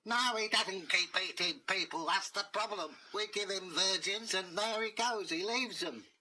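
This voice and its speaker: Bloke doing a stressed moany village boss, pitched way up, lots of "you know what i mean". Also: male voice, measured pace, pushed and bright, slight background noise, exaggerated voice. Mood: exasperated.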